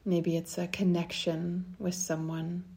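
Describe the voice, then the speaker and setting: A woman guides a meditation in a low, mellow voice with creaky edges. She speaks softly and soothingly, leaving long pauses between short phrases. Her delivery stays very even.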